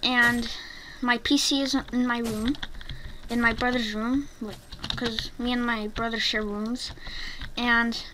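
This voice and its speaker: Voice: mid-range voice, flat delivery. A female-sounding gaming YouTuber giving casual gameplay commentary in a rambling, hesitant way. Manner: rambling, hesitant, halting delivery. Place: home bedroom, voice over gameplay. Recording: noisy home mic with keyboard clicks.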